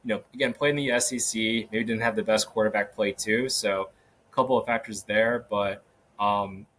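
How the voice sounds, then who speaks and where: Low-voiced man rambling through sports analysis. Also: fairly even intonation, moderate pace, fairly bright tone, slight background noise. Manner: casual, rambling, many fillers and restarts, chuckles. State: slightly hoarse. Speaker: podcast host. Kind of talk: solo sports podcast analysis. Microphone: podcast microphone.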